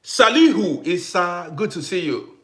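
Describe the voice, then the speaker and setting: A man hosting a casual livestream who greets viewers by name, repeats himself, and swings between loud bursts and quiet asides. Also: high voice, big pitch swings, unhurried. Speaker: livestream host.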